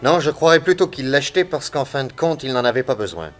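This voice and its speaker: An actor playing a calm, authoritative male private detective in a French radio crime drama, questioning people methodically. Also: mid-range voice, fast talker, faint music behind, lightly acted.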